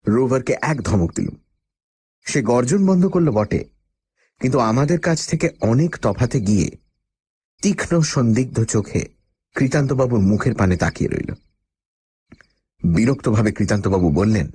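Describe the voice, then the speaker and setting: A man's voice: mid-range voice, lively intonation, moderate pace, pauses often, darkish tone, breathy edge, no echo. A man playing the uneasy first-person narrator of a radio horror story, telling it in a dramatic, suspenseful way. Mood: tense, steady. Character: male first-person narrator, uneasy job-seeker with a dog.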